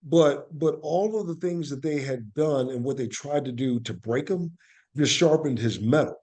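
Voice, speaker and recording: A man's voice: mid-range voice, normal speed, a little mellow. A man speaking as a guest in a conversational podcast interview, telling things in an earnest, reflective way and repeating phrases for emphasis. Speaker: interview guest, actor and playwright.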